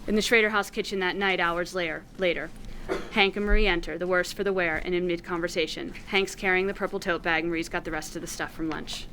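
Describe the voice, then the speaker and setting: A woman with a slightly low voice reads stage directions at a table read. She tells the scene vividly, in deliberate, clipped phrases. Light room noise is audible behind her. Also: steady tone. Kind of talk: scripted narration at a screenplay table read.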